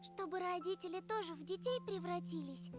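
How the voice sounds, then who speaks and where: Very high-pitched little girl. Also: fairly quick. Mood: playful pleading. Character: small pleading little sister, playful, easily bored. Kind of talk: Russian visual novel voice acting. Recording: music behind the voice.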